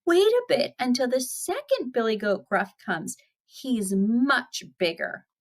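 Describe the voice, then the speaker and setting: A woman's voice: on the high side, very animated, takes it slowly, pitched above the performer's own voice, full character voice. A woman voicing the tiniest young billy goat, timid and pleading in a small voice, in a children's storybook. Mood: frightened, pleading. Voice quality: small, thin voice.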